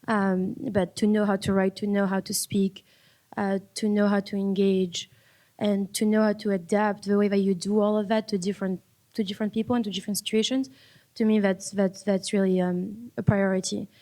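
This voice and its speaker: Woman, mellow voice. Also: mid-range voice, little pitch movement, normal speed. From France. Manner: reflective, repeats words while finding them. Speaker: panelist. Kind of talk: panel discussion. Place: public panel event.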